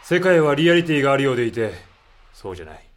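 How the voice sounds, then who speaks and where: A man playing a composed, philosophical military man in a voice drama, speaking in a measured, reflective and formal way. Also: mid-range voice, sing-song, lightly acted. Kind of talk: amateur voice drama acting.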